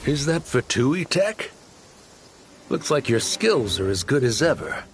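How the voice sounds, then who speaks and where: An actor playing a greedy smuggler posing as a philanthropist, boastful and wheedling, in a game cutscene over faint music. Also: male voice, mid-range voice, sing-song, measured pace.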